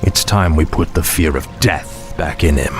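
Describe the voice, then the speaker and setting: A man's voice: a bass voice, normal speed. An actor playing a fallen undead death-knight king, giving stern, resolute commands. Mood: determined. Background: orchestral music.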